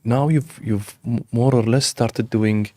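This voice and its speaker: A man's voice: medium pitch, fairly even intonation, unhurried, dark tone, dry recording. A man hosting a podcast interview, asking follow-up questions and chiming in with short encouragements. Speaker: podcast host. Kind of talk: interviewing, casual.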